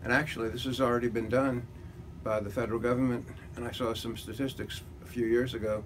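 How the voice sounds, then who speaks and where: Measured man over clear background noise. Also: mid-range voice, little pitch movement. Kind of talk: considered interview answer.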